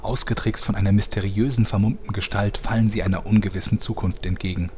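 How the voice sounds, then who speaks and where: A man's voice: on the low side, fairly even intonation, quick pace, a little mellow, slight background noise, lightly acted. A man playing a dramatic, tongue-in-cheek and pompous narrator of a serial audio drama parody. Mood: dramatic, mock-suspenseful.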